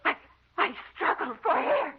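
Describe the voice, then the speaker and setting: An actress playing an anxious, haunted wife in a melodramatic old-time radio drama. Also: female voice, extremely high pitch, big pitch swings, a little slow, exaggerated voice. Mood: rising terror. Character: anxious, haunted wife recounting supernatural terrors. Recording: muffled, noisy old radio recording.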